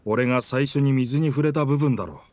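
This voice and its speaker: An actor voicing a proud, dramatic talking pirate ship in an anime video game, in pompous, rough masculine speech. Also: male voice, medium pitch, fairly quick, a little mellow, dry recording, natural voice. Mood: wistful, subdued. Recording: music behind the voice.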